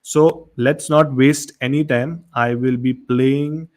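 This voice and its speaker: A man's voice: mid-range voice, fairly even intonation, slow pace, a little mellow. A man talking casually as he introduces a segment on a horror radio show.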